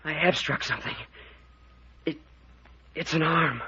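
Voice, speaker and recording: An actor playing a high-strung, horrified young man in an old radio mystery, frantic and pleading. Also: a little slow, pushed and bright, breathy edge, heavily acted. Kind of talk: old-time radio mystery serial acting. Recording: muffled, noisy old radio recording.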